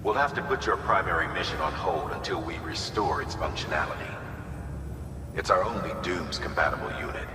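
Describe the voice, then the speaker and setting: An actor playing a stern, folksy male director giving a measured, commanding mission briefing in a video game cutscene. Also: a bass voice, lively intonation. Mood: businesslike. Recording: echoey hall, clear background noise.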